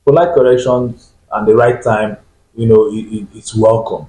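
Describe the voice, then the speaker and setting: Measured male panel guest, echoey room. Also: lowish voice, steady tone, mellow. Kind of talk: panel discussion.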